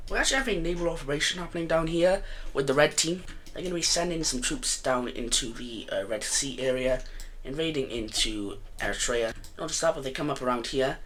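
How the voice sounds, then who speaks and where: A man with a croaky voice narrates a map simulation in a loose, casual way. He talks quickly, stumbling through fillers and correcting himself as he goes, over a faint background hiss. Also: mid-range voice, fairly bright tone. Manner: rambling, many fillers and self-corrections. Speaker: video host.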